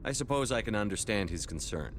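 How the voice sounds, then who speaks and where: An actor playing a composed, authoritative starship commander in a video game. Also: male voice, lowish voice, big pitch swings, a little fast, a little hiss or hum. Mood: assured. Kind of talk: video game character dialogue.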